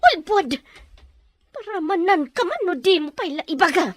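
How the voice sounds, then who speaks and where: A woman's voice: extremely high pitch, sing-song, moderate pace, no room sound. A woman playing a harsh, domineering madam in an Ilocano audio drama, commanding and scornful. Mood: angry, accusing. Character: harsh, domineering madam interrogating and threatening her captive.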